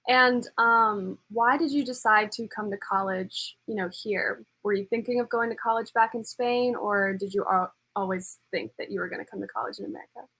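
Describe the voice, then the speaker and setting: A woman's voice: medium pitch, steady tone, normal speed, hardly any pauses, a bit bright, rough recording. A young woman hosting a student show, asking casual, rambling interview questions.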